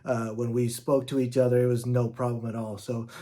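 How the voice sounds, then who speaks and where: A man's voice: medium pitch, flat delivery, fairly quick, warm tone. A man giving a casual gear review in an informal, explanatory way, as a vlogging product reviewer.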